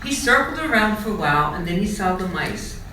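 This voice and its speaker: A woman reading a children's storybook aloud in an expressive, dramatic storytelling style. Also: medium pitch, brisk. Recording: echoey room, distant mic, faint noise.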